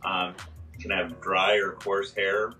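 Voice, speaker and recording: A hoarse man in his fifties, a pharmacist explaining health topics on a video call, step by step with many fillers. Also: deep voice, little pitch movement, slow, bright, forceful tone, far from the mic, faint music behind. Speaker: pharmacist guest on a radio show. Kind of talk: casual health talk. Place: small room indoors.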